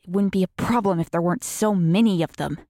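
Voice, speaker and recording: A woman's voice: fairly low pitch, quick pace, dark tone, no room sound, clean studio sound, natural voice. A woman voicing a clever, self-assured teenage girl who explains things in a matter-of-fact, knowing way for an audiobook. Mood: urgent analysis.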